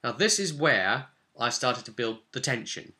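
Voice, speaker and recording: Bright-voiced man recapping a game. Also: mid-range voice, very animated, a little slow. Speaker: game master recapping his own tabletop campaign. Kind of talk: casual vlog narration of a game session.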